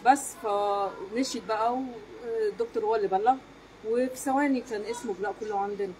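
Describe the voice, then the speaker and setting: A woman's voice: mid-range voice, fast talker, darkish tone, slight background noise, low-quality recording. A woman in her thirties with an Egyptian accent answers as an eyewitness in a street interview, in a matter-of-fact way. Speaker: neighbour giving eyewitness account. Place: outdoors against a brick wall in a street. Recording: voices around, outdoor street recording.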